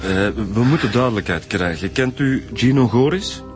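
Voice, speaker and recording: An actor playing a calm, coaxing police inspector with a Flemish accent in a radio drama. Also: male voice, medium pitch, moderate pace, soft background music, close to an everyday voice.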